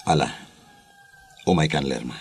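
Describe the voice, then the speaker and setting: A man's voice: a bass voice, measured pace. A man playing a firm, dutiful escort taking someone into custody in an audio drama. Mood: firm, apologetic.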